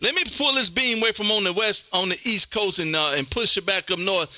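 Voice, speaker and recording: Bright high-voiced exuberant American man. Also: brisk, dry recording. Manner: exuberant, folksy, emphatic. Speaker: ham radio show host. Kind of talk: lively amateur radio on-air chat.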